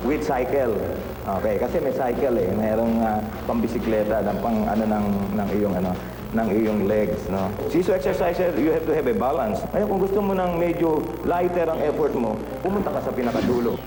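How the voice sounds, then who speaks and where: A man's voice: mid-range voice, fairly quick, roomy sound, big-room sound, background noise. A man with a Filipino accent demonstrating his invention, explaining with many fillers. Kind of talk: product demonstration.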